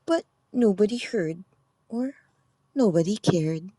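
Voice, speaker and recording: A woman narrating a children's picture book aloud with expressive, deliberate phrasing. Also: mid-range voice, no echo. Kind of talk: animated storybook read-aloud.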